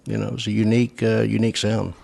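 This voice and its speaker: Low-voiced older host. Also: male voice, completely flat delivery, rapid-fire, vocal fry, darkish tone. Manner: folksy, rambling, repeats filler phrases. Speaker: radio show host. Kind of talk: casual chat.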